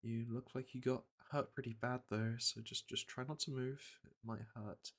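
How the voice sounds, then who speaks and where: Bright-voiced veteran monster hunter, acted by a man. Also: mid-range voice, steady tone, normal speed, close to an everyday voice. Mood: reassuring. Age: middle-aged. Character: veteran monster hunter, gruff but caring male patroller. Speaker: roleplay voice actor. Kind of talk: soft-spoken ASMR fantasy roleplay.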